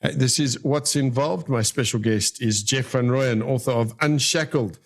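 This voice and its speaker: A man's voice: mid-range voice, moderate pace. A man in his fifties hosting a radio interview, talking in a rambling way with many fillers and restarts. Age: 50s. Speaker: radio host. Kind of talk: casual interview chat.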